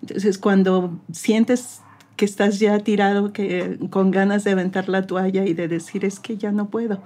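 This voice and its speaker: Mexican woman with a mellow voice. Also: medium pitch, little pitch movement, unhurried. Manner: earnest, encouraging, faith-driven advice. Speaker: English teacher, guest. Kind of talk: interview conversation.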